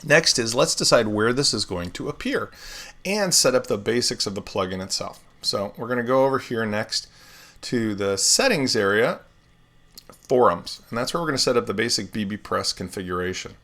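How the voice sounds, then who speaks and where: A man hosting a live software tutorial, explaining steps in a relaxed way with everyday comparisons while still getting over laryngitis. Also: fairly low pitch, normal speed. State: recovering from laryngitis, swallowing often. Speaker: web developer tutorial host.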